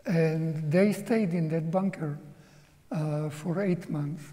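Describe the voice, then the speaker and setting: A man in his seventies, a native Hungarian speaker from Czechoslovakia, giving a slow, halting, reflective personal testimony as an interview guest in a museum auditorium. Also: a little high, dark tone, big-room sound. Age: 70s.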